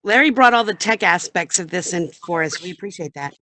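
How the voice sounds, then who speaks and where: A woman's voice: on the low side, lively intonation, brisk, sharp tone, no echo. A middle-aged American woman, a professional voice actor, chatting casually on a panel. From United States.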